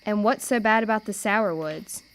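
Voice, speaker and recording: A clear, smooth voice for a plucky, determined girl, played by a young woman. She is plain-spoken and folksy, in the style of an amateur radio play. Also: mid-range voice, moderate pace, lightly acted. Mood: resolute. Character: plucky, determined red-haired girl, older sister.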